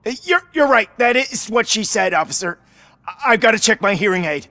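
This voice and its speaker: An actor playing a self-righteous but cowardly vandal in a video game, with a whiny, theatrical manner. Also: male voice, high-pitched, animated, a little fast, bright, forceful tone, no echo, full character voice. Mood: nervous, backing down.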